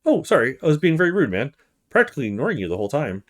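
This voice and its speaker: A man's voice: on the high side, huge pitch swings, very fast. A man voicing a friendly, easygoing young male university student in a visual novel, chatty and casual. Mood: concerned. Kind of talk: visual novel character read-aloud.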